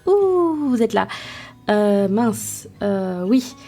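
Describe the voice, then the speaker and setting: A woman's voice: mid-range voice, very animated, extremely slow, dark tone, faint music behind. A young woman hosting a podcast, reviewing a series in a casual, self-mocking way with many fillers and restarts. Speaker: podcast host.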